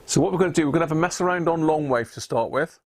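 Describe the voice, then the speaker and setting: A man's voice: on the high side, racing, a little mellow, a little hiss or hum. A man hosting a casual live stream, explaining things informally and without hurry as he demonstrates his hobby.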